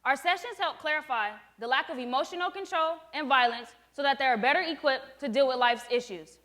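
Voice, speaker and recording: A late-teen young woman with a notably high voice presents her project and plays a group facilitator. She explains things firmly, in a lecturing, no-nonsense way. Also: brisk, a bit bright. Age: late teens. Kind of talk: student presentation with a scripted role-play.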